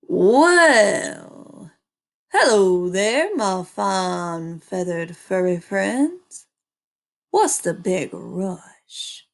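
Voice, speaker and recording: A woman's voice: medium pitch, sing-song, drawn-out words, vocal fry, full character voice. A woman voicing a sly male coyote villain in a storybook, drawling with false friendliness and a hint of a snarl. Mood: sly, coaxing. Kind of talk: animated children's storybook reading.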